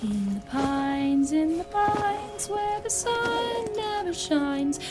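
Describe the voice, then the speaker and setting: Audio drama casting: wistful female singer, high slow folk lament, prominent music. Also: animated, heavily acted. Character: wistful woman singing an old folk lament.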